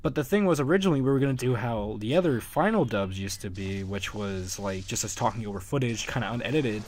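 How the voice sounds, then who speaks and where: Casual, halting man giving commentary. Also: medium pitch, darkish tone, a little hiss or hum. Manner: rambling, halting, chuckles often. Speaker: fan dub creator, commentator. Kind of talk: casual unscripted solo commentary.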